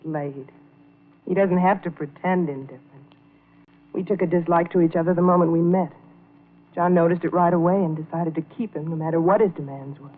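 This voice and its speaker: An actress playing an anxious, unhappy wife of a jealous husband, pleading in old-time radio melodrama style. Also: female voice, fairly low pitch, steady tone, a little fast, close to an everyday voice. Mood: bitter. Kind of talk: 1940s-50s radio melodrama acting. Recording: vintage radio, thin phone-like band.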